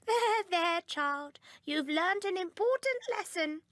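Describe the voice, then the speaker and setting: A woman voicing a kindly elderly grandmother who speaks gently and reassuringly. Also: extremely high pitch, animated, takes it slowly, no room sound, clean studio sound, pitched above the performer's own voice, exaggerated voice. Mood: soothing. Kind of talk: storybook reading.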